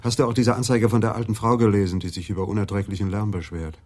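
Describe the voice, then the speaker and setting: A male actor plays a stubborn police adjutant in a radio crime drama. He has a fairly low voice and a sceptical, insistent way of making his points. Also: flat delivery, quick pace, a little mellow, natural voice. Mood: probing. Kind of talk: German radio play acting, office dialogue.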